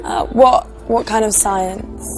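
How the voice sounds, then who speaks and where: An actress as a friendly young woman on a date in a game scene. She chats casually in short, agreeable replies at a middle pitch, with quiet music underneath. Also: steady tone, takes it slowly, close to an everyday voice. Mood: curious, unimpressed. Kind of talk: acted casual date chat.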